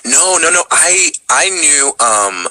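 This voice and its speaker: A man's voice: fairly high pitch, very animated, slow, bright, forceful tone, poor audio. A man, a voice actor guest, chatting casually and rambling with many self-corrections. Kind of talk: casual chat.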